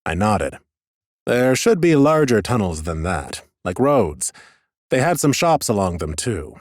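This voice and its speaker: A man reads scripted fantasy fiction as a first-person narrator. His voice is on the low side and recorded close and dry, with a measured storyteller's delivery. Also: big pitch swings, dry recording. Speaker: audiobook narrator.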